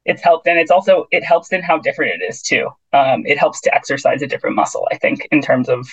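A woman sports reporter in relaxed interview chat, quick and talkative, doubling back on her words with easy laughs. Her voice comes through a muffled, lo-fi remote line. The sound is dry, with no room around it. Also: low-pitched, husky, dry recording. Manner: chatty, quick, with frequent restarts and laughs. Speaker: tennis and basketball beat reporter.

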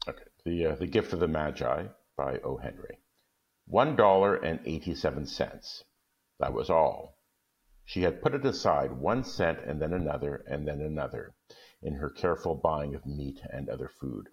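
Man, extremely deep pitch, guest story reader, dull lo-fi sound. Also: animated, moderate pace. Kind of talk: casual chat, then reading a short story aloud. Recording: dull, muffled lo-fi audio.

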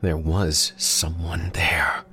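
A man voicing a terrified husband in a horror story, pleading in a quavering, faltering, breathy way. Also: rumbling low, level tone, drawn-out words, pushed and bright, faint music behind, full character voice.

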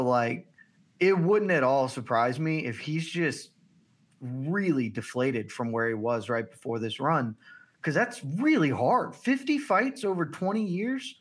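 A man co-hosting a casual fight chat, talking quickly and easily. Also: medium pitch, fairly bright tone. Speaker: podcast co-host.

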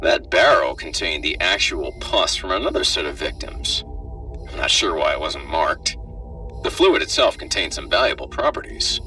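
Guy doing a callous robotic doctor for a horror story, low and super bright, darkly joking. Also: expressive, moderate pace, distant mic, soft background music, heavily acted. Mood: matter-of-fact. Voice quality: robotic, muffled through a suit. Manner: matter-of-fact, darkly joking. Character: callous, flippant male government doctor in hazmat suit.

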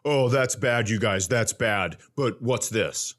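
A man co-hosting a comedy podcast with casual, teasing banter and quick interjections. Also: mid-range voice, fairly bright tone.

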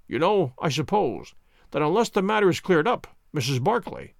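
A man reading an audiobook, voicing a calm, commanding detective in a measured, lowered voice. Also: creaky, no echo, studio quality. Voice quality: measured, low and cool.

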